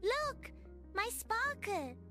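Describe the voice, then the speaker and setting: A woman's voice: very high-pitched, sing-song, very slow, bright, forceful tone, soft background music, pitched above the performer's own voice, exaggerated voice. A woman voicing a tiny, timid, sad little fairy girl in a bedtime story, shy and plaintive. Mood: flustered. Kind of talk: children's bedtime storybook dialogue.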